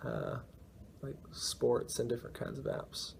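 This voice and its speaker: Slow, gravelly laid-back young man. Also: mid-range voice, little pitch movement, slight background noise. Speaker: focus group participant. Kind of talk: casual interview answers.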